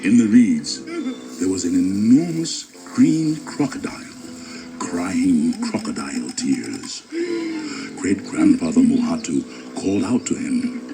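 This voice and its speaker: A man with a deep, creaky voice narrates a children's story. His delivery is dramatic and lively in pitch, with music clearly playing behind him. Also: takes it slowly, poor audio.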